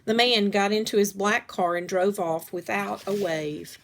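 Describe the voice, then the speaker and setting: A woman's voice: medium pitch, fairly even intonation, measured pace, gravelly. A woman reading a children's story aloud as scripted narration.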